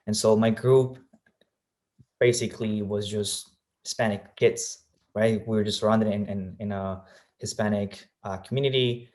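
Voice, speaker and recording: Interview guest: young man from Colombia, lowish voice, reflective, lots of ums. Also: a little mellow. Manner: thoughtful, many fillers and restarts. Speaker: guest, real estate agent. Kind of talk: casual interview answers.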